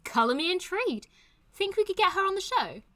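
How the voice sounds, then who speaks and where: Young female show host, bright high pitch, very animated, teasing, breezy, audio drama. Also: a little fast, heavily acted. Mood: excited. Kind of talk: casual audio drama dialogue as a podcast host.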